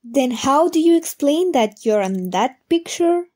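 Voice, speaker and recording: A woman's voice: high voice, expressive, a little slow, mellow. A woman playing a skeptical, questioning funfair stallholder in an audio drama. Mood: doubtful.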